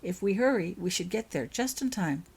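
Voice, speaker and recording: Woman reading a warm, courteous father, husky-edged. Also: mid-range voice, lively intonation, normal speed. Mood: calm, kindly. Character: friendly, calm father, leading the group.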